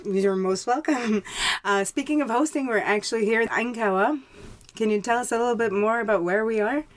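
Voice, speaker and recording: A woman's voice: fairly high pitch, brisk. A woman speaking as a podcast host, presenting to listeners and interviewing a guest. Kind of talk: scripted podcast introduction and sponsor reads, then interview questions.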